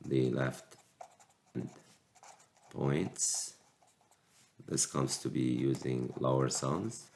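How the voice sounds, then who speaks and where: A man's voice: extremely low pitch, darkish tone, slight background noise. A man with a cold teaching a recorded calculus lecture, explaining step by step with frequent restarts. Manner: slow, halting explanation with restarts. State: sick with a cold. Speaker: math teacher.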